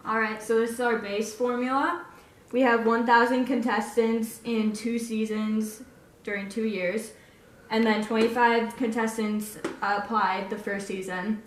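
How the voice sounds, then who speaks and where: A woman's voice: mid-range voice, a little hiss or hum. A woman explaining a math calculation step by step, with hesitant fillers. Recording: roomy and distant from the mic.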